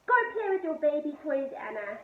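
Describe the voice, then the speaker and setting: A woman's voice: high-pitched, big pitch swings, normal speed, voice pushed up. A woman voicing a bossy, irritable young boy in a read-aloud storybook, in a roomy recording. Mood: cross, bossy. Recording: roomy, distant, dull and noisy.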